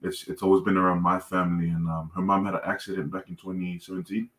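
A man in his thirties from Tonga with a mixed Pacific Island and Australian accent, telling his life story as a podcast guest in a relaxed, laughing way over a video call. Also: low-pitched, fast talker. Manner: laid-back storytelling with frequent laughter. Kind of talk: casual chat. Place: home room.

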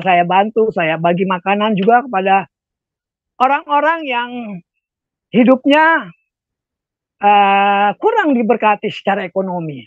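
High-voiced older man, lo-fi call. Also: no echo. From Jakarta, Indonesia. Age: 60s or older. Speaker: guest giving a testimony, lay street evangelist. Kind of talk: personal testimony. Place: room at home, indoors. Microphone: wired earphones on a video call. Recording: dull lo-fi video call.

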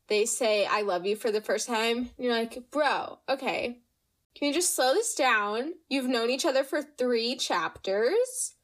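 A young woman hosting a podcast, chatting casually and reading listener stories, her voice slightly nasal from allergies. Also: a little high. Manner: chatty, animated, reads listener stories aloud. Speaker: podcast host. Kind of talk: casual storytime chat.

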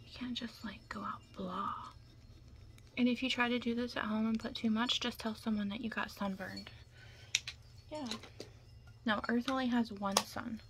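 A young woman doing an ASMR roleplay in whispers and soft talk, chatting casually and reassuringly close to the mic. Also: a little high, steady tone, moderate pace, long pauses, slight background noise. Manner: casual, chatty, reassuring makeup artist patter.

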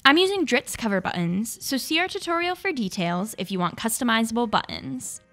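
A woman's voice: a little high, expressive, a little fast, fairly bright tone. A young woman hosting a craft tutorial, reading scripted step-by-step instructions. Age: young adult. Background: background music.

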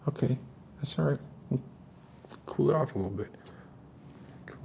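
A man in his forties playing an unstable post-apocalyptic survivor in an ASMR roleplay, coaxing softly in halting, rambling phrases. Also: mid-range voice, animated, vocal fry, slight background noise.

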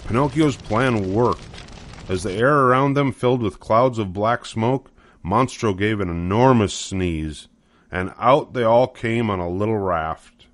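A man's voice: on the low side, unhurried, fairly bright tone, dry recording. An older man narrating a children's storybook from a script.

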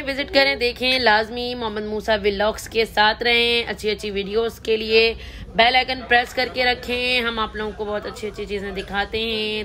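A woman's voice: fairly high pitch, sharp tone. A woman vlogger casually narrating a walk through a big supermarket, chatting easily and reading out prices as she goes. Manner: chatty, mixes English words and prices into her talk. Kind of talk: casual shopping vlog narration. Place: large supermarket, indoors.

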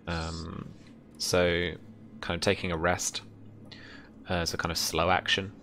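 A man's voice: low voice, level tone, unhurried, gravelly, bright, forceful tone, slight background noise. A young man running a tabletop game as game master, describing scenes casually in piecemeal phrases. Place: indoor room, video call.

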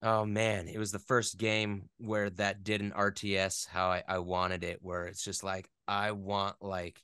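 Smooth, low-voiced man chatting enthusiastically. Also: little pitch movement, measured pace, bright, forceful tone, dry recording, studio quality. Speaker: voice actor, interview guest. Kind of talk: casual interview chat.

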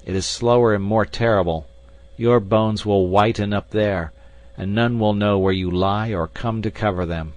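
A man's voice: fairly low pitch, fairly even intonation, normal speed, dry recording, dull audio, natural voice. A man voicing a frenzied, vengeful villain who taunts and gloats theatrically in an audiobook adventure story. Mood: vengeful gloating.

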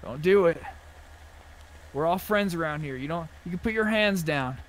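A man with a high voice talks through an online roleplay game. He banters and acts tough, swearing casually. There is light game noise behind him. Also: lively intonation, moderate pace.

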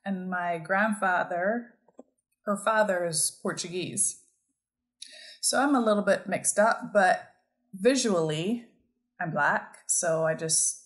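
A woman from Barbados, a guest on a podcast, talking candidly and explaining her background step by step. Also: on the low side. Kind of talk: conversational interview.

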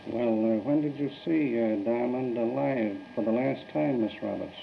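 Gravelly male 1930s newsreel interviewer. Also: medium pitch, moderate pace. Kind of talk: formal, stilted interviewing. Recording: muffled noisy 1930s newsreel soundtrack.

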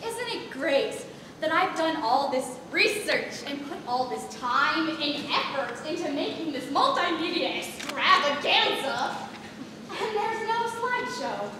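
A high-pitched, bossy young stage manager played by a teenage girl in a stage comedy. She is sarcastic and full of doom, heard far off in a noisy, echoing auditorium. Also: big pitch swings, slow, exaggerated voice. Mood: sarcastic, exasperated. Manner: overdramatic, sarcastic, commanding. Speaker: student stage actor. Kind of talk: scripted stage comedy acting. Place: large school theatre stage, audience present. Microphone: far camera in audience. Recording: distant, noisy, echoey auditorium stage recording.

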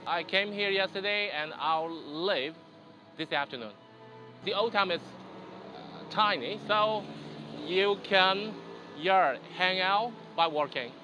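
Chinese man tourist, slow word-searching interview answers, music bed. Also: sits high, bright, forceful tone. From China. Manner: slow, searching for words with many ums. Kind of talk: casual street interview. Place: outdoors.

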